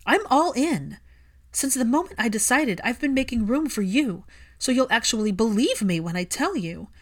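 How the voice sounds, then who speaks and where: A woman's voice: on the high side, big pitch swings, normal speed, voice pushed up. A woman narrator voicing a fiercely loving, hurt young woman in an audiobook, speaking with emphatic feeling. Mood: angry, resolute. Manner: emphatic, impassioned.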